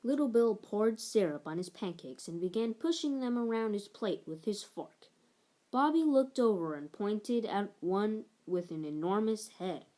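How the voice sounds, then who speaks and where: A woman reading a children's picture book aloud, narrating in an expressive, measured storytelling manner. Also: mid-range voice. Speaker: story reader.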